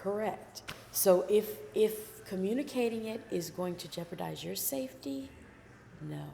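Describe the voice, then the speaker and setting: A woman co-hosting a casual talk show about dating. She has a soft voice and gives measured, thoughtful advice. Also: mid-range voice, vocal fry, crowd noise. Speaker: podcast co-host. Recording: long reverb.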